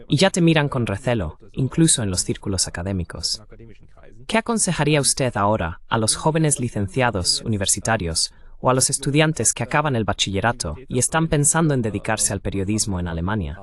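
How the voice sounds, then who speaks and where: A man voices an inquisitive interviewer in a translated interview. His pitch is average for a man and moves about a lot. He puts questions as a voice-over. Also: very animated, normal speed, lightly acted. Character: inquisitive adult male interviewer.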